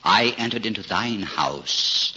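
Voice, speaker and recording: An actor playing a lonely, grieving, embittered old village cobbler in a 1940s radio drama, speaking slowly and wearily in old-fashioned formal language. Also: male voice, on the low side, lively intonation, bright, forceful tone, breathy edge, exaggerated voice. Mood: reverent, reflective. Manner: slow, weary, old-fashioned formal speech. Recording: muffled, noisy 1940s radio recording.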